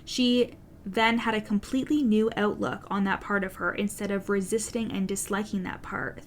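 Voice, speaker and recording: A woman's voice: mid-range voice, a little hiss or hum. A woman coach with a stutter giving a direct, earnest solo podcast monologue to her listeners, with an occasional swear word. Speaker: business coach, podcast host.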